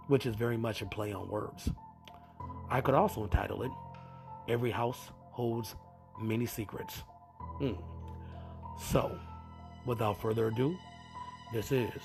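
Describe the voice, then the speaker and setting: Gravelly man with a cold, hosting. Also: lowish voice. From US East Coast. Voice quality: scratchy, gravelly. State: fighting a cold. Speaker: podcast host. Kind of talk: podcast introduction. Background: music.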